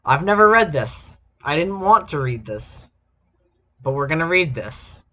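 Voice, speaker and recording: Slow-paced man with a dull, lo-fi sound. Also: fairly high pitch, big pitch swings, sharp tone. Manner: amused, incredulous asides while reading. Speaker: streamer reading fan fiction aloud. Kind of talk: casual chat and mock-dramatic reading. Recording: dull lo-fi mic with music behind. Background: background music.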